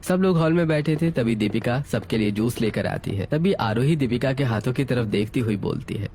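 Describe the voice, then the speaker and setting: Male storyteller for a scripted family drama, medium pitch, narrating scene by scene. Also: animated, normal speed, darkish tone, a little hiss or hum.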